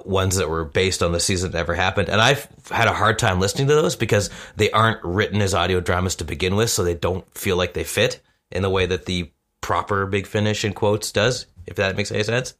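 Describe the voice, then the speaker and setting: Low-pitched man chatting as a podcast guest. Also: a bit bright. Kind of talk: casual panel chat.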